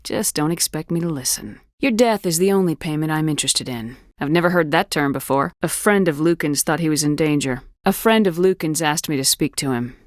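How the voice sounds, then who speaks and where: A woman's voice: deep voice, brisk, few pauses, dry recording, studio quality, natural voice. A woman playing a tough, decisive space soldier in a video game, speaking with firm, clipped authority. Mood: matter-of-fact.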